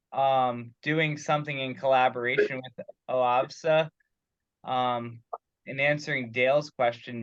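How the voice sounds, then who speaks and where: A man's voice: mid-range voice, no room sound. Man on a muffled conference call. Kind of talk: casual technical discussion. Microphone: teleconference call.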